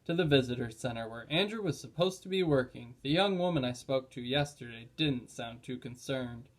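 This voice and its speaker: A man's voice: mid-range voice, animated, normal speed, close to an everyday voice. Man reading as a kindly old grandfather. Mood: matter-of-fact.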